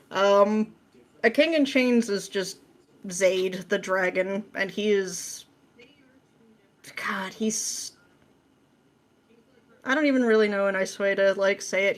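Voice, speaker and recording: A woman chatting casually as a podcast guest, with a playful, jokey and slangy way of talking. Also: mid-range voice. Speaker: podcast guest, script writer. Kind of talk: casual chat.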